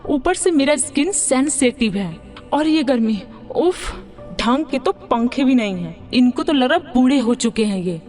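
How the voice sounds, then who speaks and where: A woman plays a spoiled young city bride from a cartoon story. Her voice is very high, whiny and demanding, sprinkled with English words, over background music. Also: animated, measured pace, a little mellow. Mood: whiny complaining. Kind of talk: Hindi cartoon moral story dialogue.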